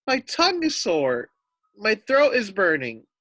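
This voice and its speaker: A man's voice: high-pitched, huge pitch swings, unhurried, pushed and bright, no room sound, voice pushed up, exaggerated voice. A man voicing a hungry, gullible wolf who grumbles and complains in a children's storybook. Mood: pained howling.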